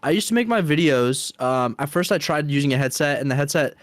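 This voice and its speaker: A man's voice: fairly high pitch, expressive, brisk, dry recording, clean studio sound. A man in his twenties on a video call, teasing and joking at the others. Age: 20s. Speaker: co-host. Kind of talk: casual chat on a call.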